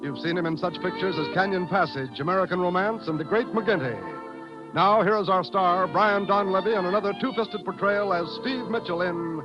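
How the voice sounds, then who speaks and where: A man's somewhat high, formal announcer voice over loud music on a dull old recording performed. He presents with the dramatic weight of vintage radio suspense. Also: fairly quick, close to an everyday voice. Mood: rousing.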